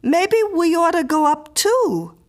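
A woman's voice: extremely high pitch, very animated, slow, voice pushed up, full character voice. A woman voicing a brassy young woman in an audiobook, bossy but consoling, with blunt, slangy talk. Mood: practical. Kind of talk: audiobook character acting.

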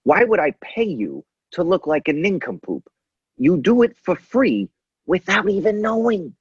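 Guy doing a smug snooty royal heir with a fake polish accent, swoopy high voice, dry little room sound. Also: very animated, unhurried, slightly rough, no room sound, lo-fi sound, full character voice. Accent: put-on Polish. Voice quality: puffed-up, snooty, theatrical. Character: scheming, smug young royal heir William.

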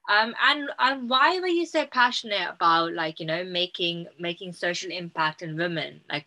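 A woman co-hosting an interview, introducing the guest and asking questions with enthusiasm. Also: fairly low pitch. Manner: enthusiastic, warm praise. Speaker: co-host.

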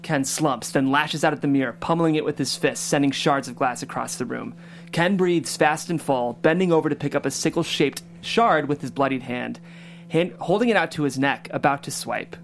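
Higher-pitched man hosting a script reading. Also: normal speed, gravelly. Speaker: stage directions reader and host. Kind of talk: scripted narration.

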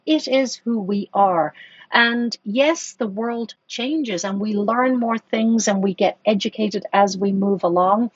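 Woman, executive guest, husky mid voice, dull dry podcast sound, thoughtful and hesitant. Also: medium pitch, slow, dry recording. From Ireland. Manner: thoughtful, many restarts and fillers. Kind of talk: conversational podcast interview.

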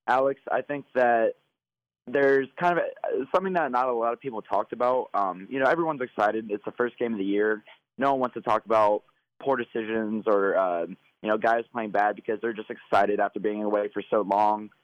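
A man co-hosting a sports podcast by phone, hoarse from yelling, talking casually with many fillers. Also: fairly low pitch, normal speed, no room sound. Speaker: podcast co-host. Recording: phone line.

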